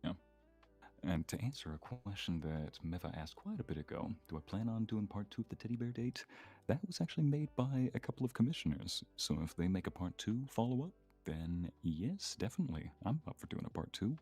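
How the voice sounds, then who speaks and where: Low, husky man in casual chat. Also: lively intonation, a little fast, a little mellow, soft background music. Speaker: ASMR creator, guest on a stream.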